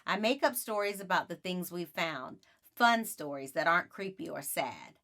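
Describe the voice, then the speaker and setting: A woman narrating a children's book aloud in an expressive storytelling style. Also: fairly low pitch, normal speed.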